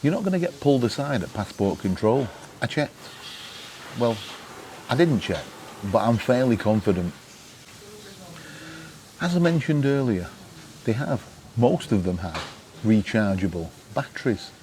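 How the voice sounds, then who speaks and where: A man's voice: mid-range voice, big pitch swings, measured pace, pauses often, a little mellow, slight background noise, poor audio. A British man chatting casually as a vlog host, with a jokey, wry, mock-exasperated way of telling things. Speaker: vlog host giving travel gadget tips. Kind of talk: casual chat to camera.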